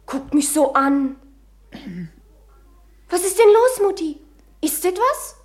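Worried insistent little schoolgirl, high voice, radio play. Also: expressive, slow pace, exaggerated voice. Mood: alarmed, questioning. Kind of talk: German radio drama acting.

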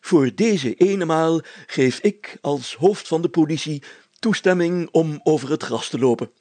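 Man acting a storybook burgomaster, pompous, formal, easily flustered, lively pitch, husky. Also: a little slow, dry recording. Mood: jovial, commanding. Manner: pompous, old-fashioned formal phrasing, blustering. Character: pompous, flustered male burgomaster and police chief.

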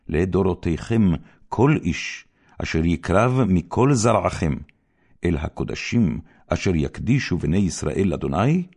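A man reading the Bible aloud in a solemn, scripted style, with measured, deliberate phrasing and pauses. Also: sits low, a little mellow. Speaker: scripture reader.

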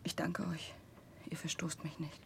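An actress playing an ashamed, miserable young outcast in a 1960s German radio drama, speaking with a bitter, broken, pleading manner. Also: female voice, low-pitched, little pitch movement, a little slow, creaky, whispered, exaggerated voice. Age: young adult.

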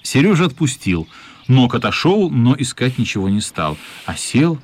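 An actor narrating a children's radio play as an expressive, literary storyteller. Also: male voice, medium pitch, moderate pace. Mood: storytelling.

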